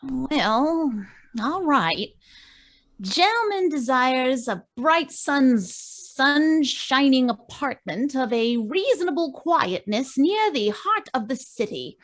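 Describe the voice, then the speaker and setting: A woman's voice: sits high, sing-song, takes it slowly, fairly bright tone, exaggerated voice. A woman playing a brash, wisecracking young rental clerk in an old-time radio play over a video call. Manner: brash, sarcastic. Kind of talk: 1940s radio acting.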